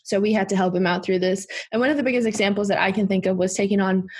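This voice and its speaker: Young woman hosting a podcast, kinda husky, earnest and lively. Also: medium pitch, very fast. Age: young adult. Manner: earnest, leads the topic, expressive. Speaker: podcast host. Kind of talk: casual chat.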